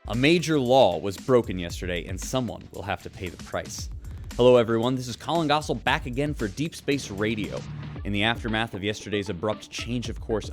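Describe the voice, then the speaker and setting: An actor playing a chatty, upbeat radio host on a ship, presenting news in a breezy, conversational way. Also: male voice, fairly low pitch, lightly acted.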